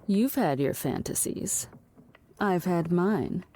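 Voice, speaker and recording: An actress playing a poised, defiant and seductive suspected spy in a theatrical audio drama. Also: female voice, fairly low pitch, lively intonation, takes it slowly, dark tone, slight background noise. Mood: seductive, taunting.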